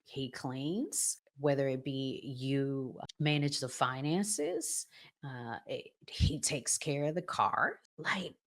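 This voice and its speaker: Middle aged lady podcaster, low voice, takes her time, emphatic advice talk to the ladies. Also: slow pace. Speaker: podcast host giving marriage advice. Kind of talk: informal advice talk addressed to women.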